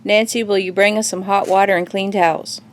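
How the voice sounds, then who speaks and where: A woman's voice: medium pitch, steady tone, fairly quick, natural voice. A woman playing a caring middle-aged mother who takes charge in an audio drama, reassuring and practical. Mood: urgent, controlled.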